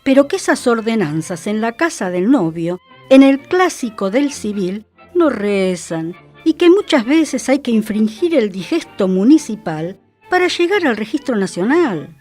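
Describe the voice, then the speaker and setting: A woman with a Buenos Aires accent gives a dramatic, expressive literary reading on the radio. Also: on the high side, unhurried, faint music behind. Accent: Argentine, Buenos Aires. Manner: expressive, theatrical storytelling. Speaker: radio host and reader.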